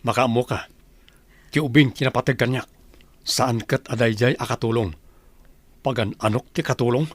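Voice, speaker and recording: A man's voice: medium pitch, fairly even intonation, normal speed, long pauses, no room sound, natural voice. A man playing a stern, controlling rich master of a house in a melodramatic audio drama. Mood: firm.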